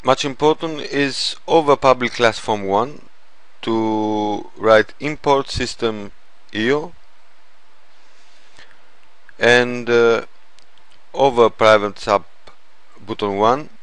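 A man narrating an informal software tutorial in a halting, step-by-step way. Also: mid-range voice, smooth, dry recording. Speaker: programming tutorial presenter.

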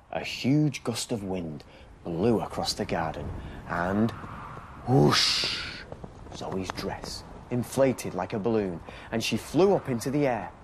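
A British man in his thirties reading a children's bedtime story in a gentle, deliberate, expressive storytelling style. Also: fairly low pitch, background noise. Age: 30s. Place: TV studio set.

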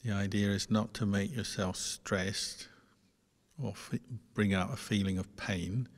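A man guides a meditation in a low, very even voice. He speaks slowly and gently, leaving long pauses between short phrases. The sound carries a long reverb, as in a large hall. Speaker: Buddhist monk leading guided meditation.

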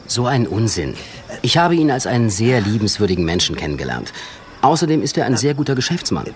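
An actor playing an educated gentleman, narrating and speaking formally in an eerie audio drama. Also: medium pitch, very animated, natural voice. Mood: composed. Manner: measured, formal, literary. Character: educated gentleman recounting an eerie past adventure. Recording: music under the voice.

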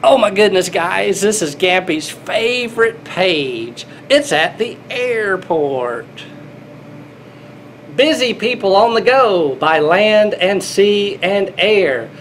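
A man's voice: sits high, lively intonation, takes it slowly, fairly bright tone, slight background noise. A middle-aged man reading a children's picture book aloud, playful and exclaiming, talking as if to small children. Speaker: grandfather reading to children, air traffic controller. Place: small indoor airport control room.

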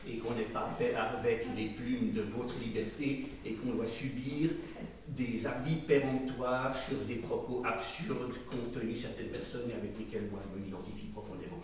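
A man speaking at a public literary debate in a deliberate, rhetorical, ironic manner. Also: medium pitch, steady tone. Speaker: audience member and journalist reading questions. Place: small dim event venue with audience. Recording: distant, very echoey room, faint noise.

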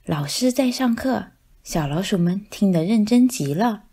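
A woman narrating a children's picture book in an expressive storytelling style. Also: mid-range voice, measured pace, husky.

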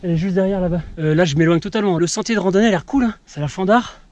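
A man's voice: sits high, very fast, darkish tone, muffled recording, slight background noise. A French man narrating his outdoor trip on camera, thinking aloud and exclaiming at birds of prey along a mountain trail. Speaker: vlogger. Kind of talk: casual travel vlog commentary. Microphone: action camera or smartphone.

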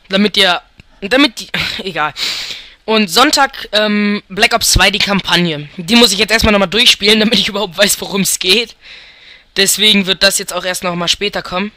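A young man from Germany talks through a football game in an unhurried, drawn-out way, rambling and thinking aloud with frequent chuckles. His voice is bright and clear, recorded dry and close with no room sound. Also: high voice, dry recording. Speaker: let's player. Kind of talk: casual gameplay commentary.

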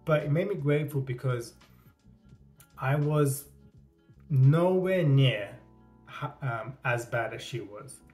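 A man in his thirties talks to the camera in a small room, stuttering through repeated words and restarts. His speech is slow and unhurried, at a middle pitch. Soft guitar music plays faintly behind him. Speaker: vlogger. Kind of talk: casual talking-to-camera vlog.

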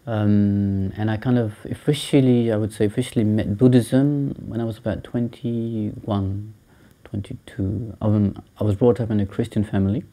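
A man in his thirties, from Sri Lanka and raised in England, explains his path as a monk in an interview. His voice sits low and very dark. He talks in a thoughtful, halting way, full of ums and restarts. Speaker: Buddhist monk and teacher. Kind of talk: interview answers. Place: small indoor room by a shrine.

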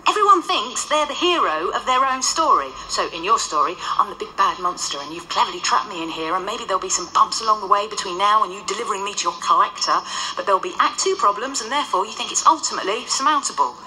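A centuries-old ghost woman holds forth in a very bright, crisp voice, brisk and lecturing with dry wit. A faint hiss sits under this radio drama. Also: mid-range voice, lively intonation, rough recording. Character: centuries-old female ghost, wry know-it-all. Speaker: lead character.

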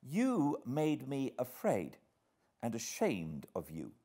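A man voicing a soft, genteel young gentleman, injured and pleading, in an audiobook. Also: mid-range voice, huge pitch swings, takes it slowly, breathy edge, voice pushed up, full character voice. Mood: hurt, resolute. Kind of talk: audiobook character acting.

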